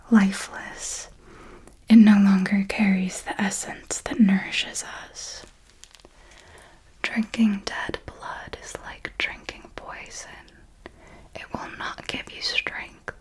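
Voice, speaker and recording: A woman playing a possessive, commanding vampire mentor in a whispered ASMR roleplay, speaking in a breathy, deliberate, coaxing way. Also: mid-range voice, lightly acted, rough recording. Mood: stern warning. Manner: slow, deliberate, coaxing and instructive.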